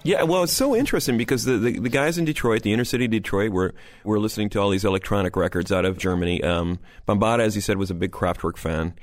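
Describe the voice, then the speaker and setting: Male co-host, slightly deep, easygoing, talking and questioning conversationally. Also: fast, hardly any pauses, soft background music. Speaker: music show co-host. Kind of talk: conversational interview.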